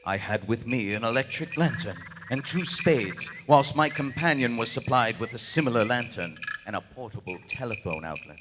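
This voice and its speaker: An actor playing a nervous, loyal gentleman who narrates a horror tale in formal, florid style for a live radio play. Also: medium pitch, lively intonation, moderate pace, hardly any pauses, background noise, lightly acted. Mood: haunted, solemn. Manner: formal, florid, dramatic delivery. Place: on stage in a large theater. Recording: live theater hall reverb, music behind. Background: music.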